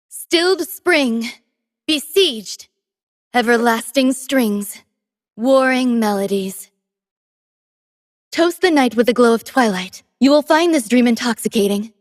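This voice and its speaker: A woman voicing a gentle, devoted female android musician in a video game, soft-spoken, tender and poetic in a measured delivery. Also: a little high, lively intonation, no echo, clean studio sound. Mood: solemn, resolute, then affectionate, wistful. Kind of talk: scripted game character voice lines.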